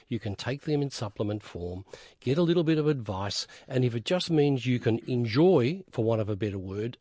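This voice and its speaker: A man gives health advice on the radio, chatty and emphatic while he reassures listeners. His voice is a little husky and sounds dry and close to the mic. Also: mid-range voice, darkish tone, no echo. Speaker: radio health regular, herbalist.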